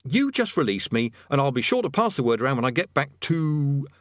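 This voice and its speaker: A man voicing a cowardly, nervous male wizard who talks in a hurried, sarcastic, evasive way. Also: mid-range voice, sing-song, no echo, clean studio sound, pitched above the performer's own voice, natural voice. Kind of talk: audiobook character voice.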